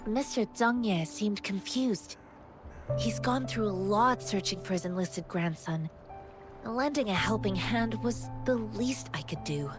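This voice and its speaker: A woman's voice: medium pitch, measured pace, over music, lightly acted. An actress playing an earnest, kind-hearted young woman martial-arts wanderer in a video game, speaking politely and sincerely.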